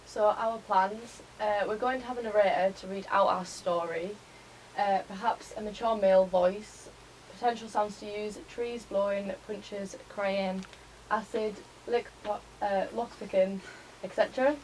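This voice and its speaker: Young female student, medium pitch, casual and tentative presenter, away from the mic with light noise. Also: fairly even intonation, unhurried, darkish tone. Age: young adult. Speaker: college student presenting a project. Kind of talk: informal class presentation. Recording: distant mic, faint room noise.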